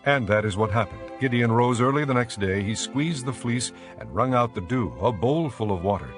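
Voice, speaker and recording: A man narrating a dramatized audio Bible in a measured storytelling manner. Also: mid-range voice, lively intonation, music in the background.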